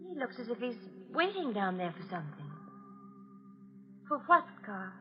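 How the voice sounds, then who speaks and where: Radio thriller wife: high-pitched, animated, gentle but fretful and pleading, vintage radio sound. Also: female voice, normal speed, over music. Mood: worried, gentle. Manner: hesitant, fretful, breaking off sentences. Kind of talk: old-time radio thriller acting. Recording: noisy, muffled old radio recording.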